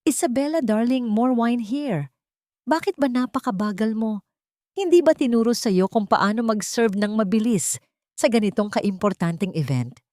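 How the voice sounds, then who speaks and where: A haughty mistress played by a woman narrator in an audio storybook. She sounds sugary and condescending, lifting her pitch as she cuts people down, in a dry recording. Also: lively intonation, normal speed, darkish tone, no echo, close to an everyday voice. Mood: sweetly condescending. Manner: sweetly condescending. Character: haughty glamorous mistress, sugary and cutting, scolding a servant. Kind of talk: dramatic audio storybook acting.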